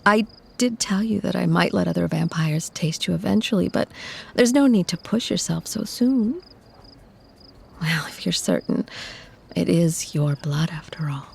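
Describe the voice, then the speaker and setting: Breathy, low-voiced protective vampire woman played by an actress. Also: animated, unhurried, heavily acted. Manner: soft, soothing endearments, breathy, genteel. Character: protective, possessive female vampire, tender lover to a mortal. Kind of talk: scripted ASMR audio roleplay.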